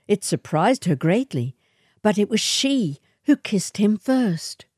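A woman reading a literary short story in a measured, atmospheric way. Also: mid-range voice, very animated, a little mellow, breathy edge, dry recording, studio quality.

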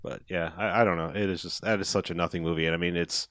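A man with a fairly low voice talks through movies in a loose, rambling way. He swears freely and leans on fillers. The sound is dry and close. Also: steady tone, quick pace, fairly bright tone, dry recording. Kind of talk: casual movie chat.

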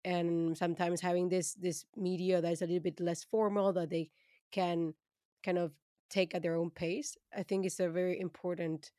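Woman, thoughtful and halting. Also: fairly low pitch, flat delivery, warm tone, dry recording, clean studio sound. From Latin America. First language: Spanish. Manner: thoughtful, hesitant with restarts. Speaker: podcast co-host. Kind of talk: casual panel discussion.

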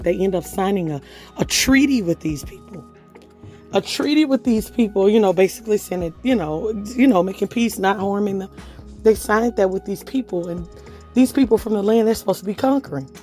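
A woman hosting a devotional podcast, talking hoarsely in a casual, conversational way and reading scripture aloud. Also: medium pitch, mellow. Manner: conversational, reads scripture aloud, chatty fillers. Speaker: podcast host.

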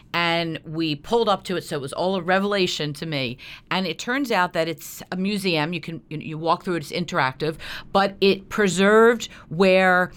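A woman's voice: lowish voice, a bit bright. An American woman who works as a journalist, explaining things conversationally as an interview guest.

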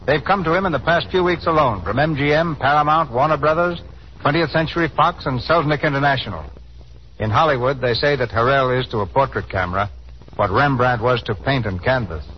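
A man hosting a 1930s radio show, reading scripted introductions and questions in a formal, oratorical manner. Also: mid-range voice. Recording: muffled 1930s radio broadcast, faint noise.

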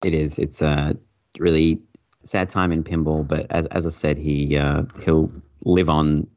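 A man's voice: very deep voice, level tone, a little slow, a little mellow, lo-fi sound. A man guest on a casual podcast, rambling and joking, tripping over repeated words.

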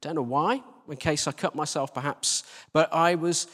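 A British man giving an informal sermon as a church leader, conversational, self-deprecating and gently humorous. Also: fairly high pitch, moderate pace. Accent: British English. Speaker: church team leader preaching. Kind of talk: informal sermon with Bible reading. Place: church hall, live service. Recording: live talk in reverberant hall.

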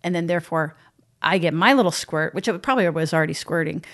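A woman co-hosts a podcast and explains ideas through everyday examples. Her voice sits a little low, and she laughs easily between points. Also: quick pace, a bit bright. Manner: explanatory, laughs often while making points. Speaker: podcast co-host. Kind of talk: conversational podcast discussion.

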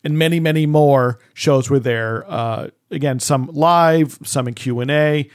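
Middle-aged man with a slightly high voice. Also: unhurried. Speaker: podcast co-host. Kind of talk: casual conversational discussion.

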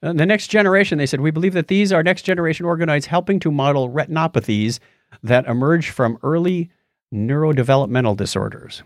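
A man's voice: a little high, quick pace, no room sound, studio quality. A man hosting a podcast, chatting casually and explaining science news in a conversational way. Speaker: podcast host.

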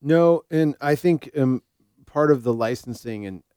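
A man's voice: medium pitch, unhurried, dark tone, clean tone, dry recording, clean studio sound. A middle-aged American man co-hosting a podcast as a therapist, talking casually and giving his opinions in a conversational way.

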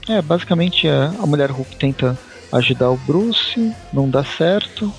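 A man's voice: on the high side, animated, moderate pace, faint music behind. A Brazilian man co-hosting a casual podcast, recapping a comic story with loose asides and frequent laughs. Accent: Brazilian Portuguese. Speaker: podcast co-host. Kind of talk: casual comic review chat.

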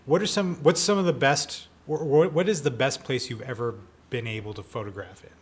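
A man's voice: mid-range voice, brisk, breathy edge. A man co-hosting a podcast, interviewing in a casual, jokey and informal way. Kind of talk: casual, joking interview chat.

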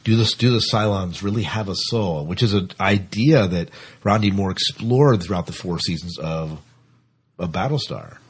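A man with a very low, husky voice hosts a casual podcast discussion. He speaks haltingly, often doubling back on a phrase as he goes. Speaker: podcast host. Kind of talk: casual discussion of TV science fiction.

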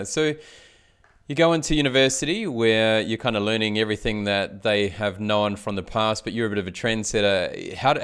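A man hosting a conversational interview, putting questions in a measured, affirming way. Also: fairly low pitch, a bit bright, clean tone. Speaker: podcast host.

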